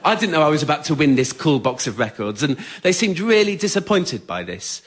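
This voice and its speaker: A man's voice: fairly high pitch, very animated, fairly quick, fairly bright tone, a little hiss or hum. Man telling a comic story on stage. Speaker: writer-performer of a one-man spoken word show. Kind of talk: scripted autobiographical comic storytelling to a live audience. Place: on stage in a radio theatre with a laughing audience.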